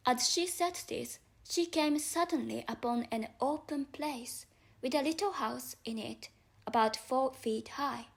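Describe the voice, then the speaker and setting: A woman's voice: sits high, a little slow, vocal fry. A woman with a non-native English accent reading a children's storybook aloud as an audiobook narrator.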